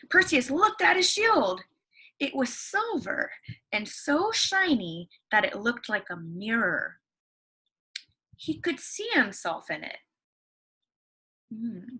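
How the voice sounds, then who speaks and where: A woman's voice: a little high, sharp tone, breathy edge. A woman teacher reading a story aloud to her class, chatty, with lots of fillers and questions to the children.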